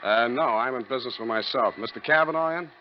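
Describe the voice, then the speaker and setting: An actor playing a cocky, wordy private detective in a 1940s radio drama, deadpan and mock-pompous. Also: male voice, medium pitch, fast talker, background noise, lightly acted. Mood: dry, smooth. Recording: muffled vintage 1940s radio recording.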